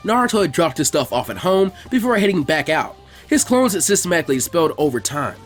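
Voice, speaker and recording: A man reading a scripted story aloud as a narrator, in an animated way. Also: on the high side, fast talker, faint music behind. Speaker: fan fiction reader.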